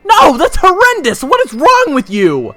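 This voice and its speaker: A man playing a sarcastic young traveler who narrates his own adventure in a wry, expressive, snarky way. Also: extremely high pitch, a little slow, heavily acted. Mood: exasperated. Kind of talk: first-person dramatic narration and dialogue. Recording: roomy echo with long reverb, music behind.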